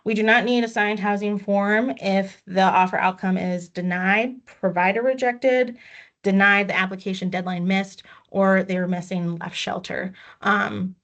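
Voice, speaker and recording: A woman's voice: mid-range voice, steady tone, a little slow, a bit bright. Woman trainer, patient and methodical, explains steps one at a time, slightly muffled video-call audio. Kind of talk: online training walkthrough. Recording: dull lo-fi audio.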